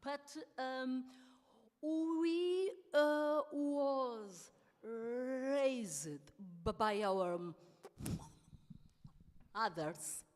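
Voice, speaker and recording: Slow-speaking woman with a stutter. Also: sits high, darkish tone. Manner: stutters often, with many pauses and restarts. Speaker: politician and anti-racist activist. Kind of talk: panel talk. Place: large hall at a public event. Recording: long hall reverb.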